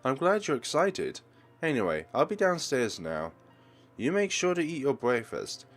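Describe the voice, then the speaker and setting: A doting mother from a visual novel, acted out by a man, speaks with affection and attentive cheer. The pitch rises and falls freely in a gentle, caring way. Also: huge pitch swings, normal speed, soft background music. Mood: gentle, upbeat.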